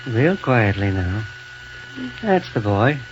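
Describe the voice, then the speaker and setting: A man playing a kindly, cautious doctor in a 1930s radio serial, soothing and deliberate, on a noisy old broadcast. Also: on the low side, very animated, highs cut off. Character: kindly, cautious doctor tending a hurt boy.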